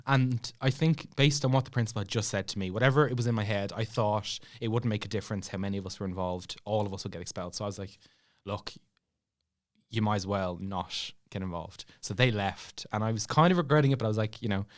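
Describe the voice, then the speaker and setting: Young man, Irish accent, deep voice, casual storytelling, acted-out quotes. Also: fast. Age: young adult. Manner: animated retelling with acted-out dialogue and laughing asides. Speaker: podcast guest telling a story.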